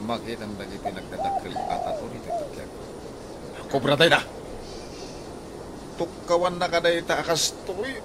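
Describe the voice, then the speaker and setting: Man acting a pushy ilocano street thug, highish lively voice, taunting, loud noisy background. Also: moderate pace, pauses often. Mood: menacing, taunting. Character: bullying male street loafer demanding money. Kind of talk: Ilocano radio comedy drama acting.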